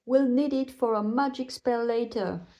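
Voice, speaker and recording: A woman reading a children's storybook, voicing a kindly, encouraging female gazelle who teaches playgroup with clear, teacherly instructions. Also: fairly high pitch, normal speed, warm tone, dull audio, natural voice. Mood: instructing. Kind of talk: children's storybook read-aloud.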